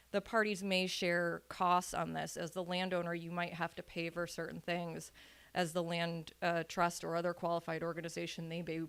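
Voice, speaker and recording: A woman's voice: lowish voice, fairly bright tone, smooth. A woman presenting at a public meeting, methodically going through points on land conservation with some fillers. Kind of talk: informational talk at a public meeting.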